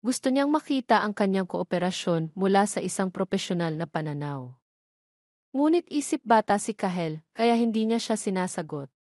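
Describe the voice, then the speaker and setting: A woman with a Filipino accent reads a scripted story recap as narrator, phrase by phrase in a measured way. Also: medium pitch, animated, a little mellow, dry recording, clean studio sound.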